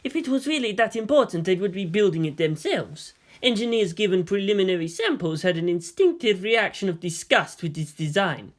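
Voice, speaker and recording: A man's voice: sits high, expressive, brisk, few pauses. A man voicing an aged, shrewd premier in a story reading, formal, questioning and skeptical. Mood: suspicious. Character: aged, experienced male statesman, suspicious and shrewd premier.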